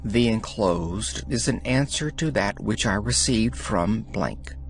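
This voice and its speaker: A man reading devotional letters aloud as an audiobook narrator, in a measured, solemn manner. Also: fairly low pitch, expressive, gravelly, faint music behind. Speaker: audiobook reader.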